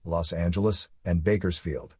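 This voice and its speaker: A man reading a scripted emergency broadcast as an announcer, in a formal and measured manner. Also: low voice, fairly even intonation, darkish tone, breathy edge, dry recording, clean studio sound.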